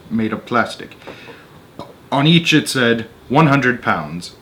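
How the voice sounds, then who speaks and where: Man reading a story aloud, middling pitch. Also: a bit bright. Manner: pauses for conversational comments on the text. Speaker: narrator and host of a story-time show. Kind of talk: reading a children's story aloud with casual asides.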